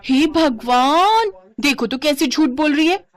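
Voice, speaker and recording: A woman's voice: a little high, lively intonation, normal speed, voice pushed up, natural voice. A woman voicing an arrogant, scheming young sister-in-law in a melodramatic, accusing manner for a story. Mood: feigned outrage.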